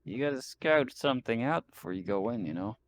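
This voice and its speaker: A man's voice: medium pitch, animated, fairly quick, a little hiss or hum. A man talking casually as a player in a tabletop roleplaying game discussion.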